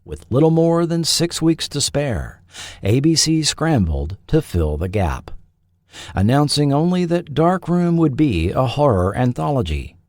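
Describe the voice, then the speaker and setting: A man hosting a podcast, reading scripted documentary narration with measured, dramatic phrasing. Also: on the high side, very animated, darkish tone, no room sound, clean studio sound. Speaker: podcast host. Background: faint background music.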